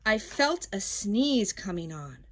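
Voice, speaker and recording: A woman's voice: medium pitch, big pitch swings, exaggerated voice. A woman voicing a self-justifying wolf who tells his side of a children's storybook with folksy, aggrieved, dramatic delivery. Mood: conspiratorial. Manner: dramatic, drawn-out storytelling with sudden swoops and hushes. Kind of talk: children's storybook read-aloud.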